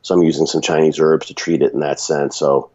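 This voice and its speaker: Very deep-voiced man, raspy with a cold. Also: little pitch movement, brisk, darkish tone, dull audio. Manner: rambling, many restarts and fillers. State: sick with a runny nose. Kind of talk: casual podcast chat.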